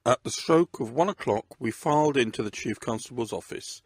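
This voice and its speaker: A man's voice: mid-range voice, normal speed, slightly rough, dry recording, clean studio sound. A man reading scripted first-person narration of a crime story.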